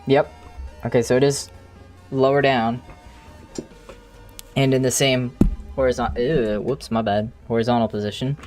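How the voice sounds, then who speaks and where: A streamer thinking aloud in a halting, casual way while doing live coding commentary, with an unclear, mid-range voice. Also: mid-range voice, steady tone, darkish tone. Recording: music playing behind the voice. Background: music.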